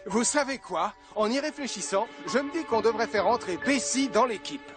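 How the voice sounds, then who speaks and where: High-pitched heroic cartoon firefighter. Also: male voice, expressive, normal speed. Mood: kindly, proud. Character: heroic, dependable, friendly adult male firefighter. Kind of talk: French cartoon dub. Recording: music behind the voice.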